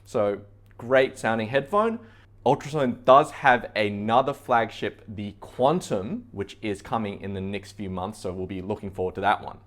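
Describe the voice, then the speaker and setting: A man with a fairly low voice presents products for a store. He talks as a reviewer addressing viewers directly. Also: moderate pace.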